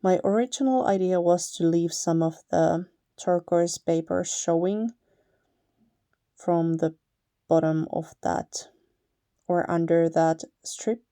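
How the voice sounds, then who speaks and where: A Finnish-accented woman talks through her card-making in an unhurried, easygoing way. Her voice is low and mellow. The sound is dry and close to the mic. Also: no echo. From Finland. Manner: relaxed, chatty, laughs lightly, self-correcting. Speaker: paper crafter, channel host. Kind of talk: casual crafting tutorial voice-over.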